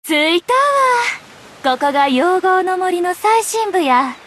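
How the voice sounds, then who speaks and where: A woman's voice: very high-pitched, very animated, slow pace, a bit bright, slight background noise, heavily acted. An actress voicing a self-possessed, teasing young woman journalist in a Japanese game, speaking in a nonchalant Kyoto-style Kansai accent. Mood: matter-of-fact. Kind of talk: Japanese anime game voice acting.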